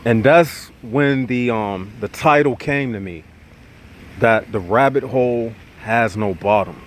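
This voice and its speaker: A man's voice: mid-range voice, unhurried, a little hiss or hum. A man hosting his own channel, talking straight to his viewers in a casual, preachy way, slightly nasal from a cold, with a lilt. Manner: preachy, animated, addresses viewers as y'all. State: nasal, getting over congestion. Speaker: channel host.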